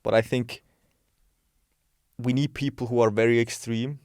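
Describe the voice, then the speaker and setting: A man's voice: medium pitch, steady tone, measured pace, darkish tone, no room sound, clean studio sound. A man, a coach giving frank, opinionated answers in a casual interview, thinking aloud as he goes. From Switzerland. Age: 20s. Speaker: guest, bodybuilding and health coach.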